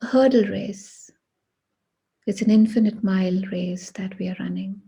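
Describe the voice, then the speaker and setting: A woman leads a guided meditation at a very slow pace, leaving long pauses between short phrases. Her voice is very husky and breathy, with a soft, mellow tone. The delivery is hushed and deliberate. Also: mid-range voice, steady tone.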